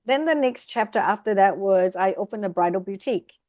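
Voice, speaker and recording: Podcast guest: middle-aged woman, expressive storyteller, dry close sound. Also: mid-range voice, a little fast, no echo. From Vietnam. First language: Vietnamese. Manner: lively, expressive, speeds up in bursts. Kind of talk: casual interview storytelling.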